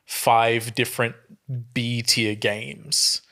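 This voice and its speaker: A man's voice: mid-range voice, level tone, very slow, creaky, pushed and bright. A man co-hosting a casual podcast, explaining things in an earnest, rambling way. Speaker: podcast co-host.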